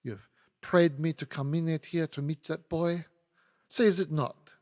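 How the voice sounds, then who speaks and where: A man's voice: on the high side, steady tone, normal speed, a little mellow, big-room sound, voice pushed up, close to an everyday voice. A man voicing a fierce, disdainful French maid with a French accent and insistent, foreign phrasing.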